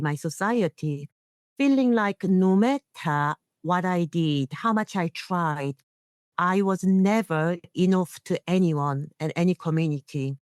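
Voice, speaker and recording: A woman's voice: fairly low pitch, darkish tone, no room sound, clean studio sound. A middle-aged woman, an English speaker, giving an earnest personal account as an interview guest. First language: not English. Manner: earnest, non-native phrasing. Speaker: guest, internationally trained nurse and leader.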